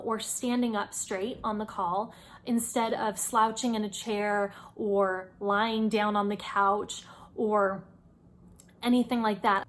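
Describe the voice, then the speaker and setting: A woman hosting an informal advice video, explaining things in a conversational, encouraging way. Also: medium pitch. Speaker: host giving career advice. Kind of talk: informal instructional video talk.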